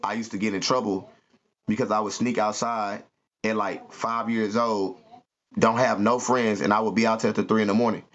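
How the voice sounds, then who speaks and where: A man telling animated, slangy stories to his listeners. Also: fairly low pitch, normal speed. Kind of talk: animated comic storytelling. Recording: muffled lo-fi recording.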